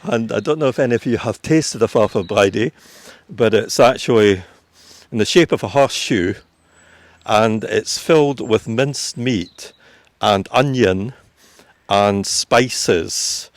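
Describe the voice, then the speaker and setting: A Scottish tour guide with a low-pitched voice talks visitors through a village churchyard outdoors. He explains the sights at an unhurried pace and fills his pauses with ums and uhs. The sound is dry, with little room tone. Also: no echo. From Scotland. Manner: informative, unhurried, with frequent fillers. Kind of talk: guided walking tour commentary.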